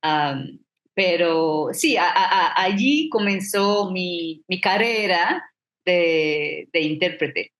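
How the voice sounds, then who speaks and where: A middle-aged woman from Trinidad with a Trinidadian accent, a native English speaker telling her story as a professional interpreter, with halting restarts and fillers. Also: fairly low pitch, animated, a bit bright. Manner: halting, frequent restarts and fillers, occasional light laughs. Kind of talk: personal storytelling talk. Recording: roomy echo.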